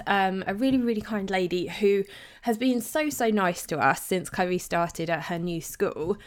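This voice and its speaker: A woman's voice: mid-range voice, smooth. A woman in her thirties hosting a podcast, chatting casually and confidingly, sounding slightly bunged up from a cold. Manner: chatty, confiding, with many fillers. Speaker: podcast host.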